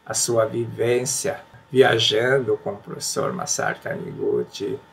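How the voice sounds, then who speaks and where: A Brazilian man earnestly presenting religious books, then reading passages aloud in a slow, reflective way. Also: on the low side, very animated, gravelly. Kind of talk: casual spoken book commentary and reading aloud.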